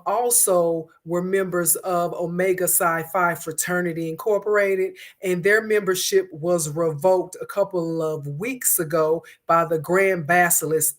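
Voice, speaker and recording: A woman hosting an online commentary stream, hoarse from a cold, talking in a conversational way with frequent pauses and fillers. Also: on the low side. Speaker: host of an online commentary show. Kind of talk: casual commentary to viewers.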